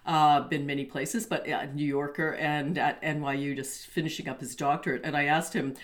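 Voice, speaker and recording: Low-voiced older woman hosting. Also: flat delivery, moderate pace. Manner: chatty, full of fillers. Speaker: podcast host. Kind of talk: casual interview.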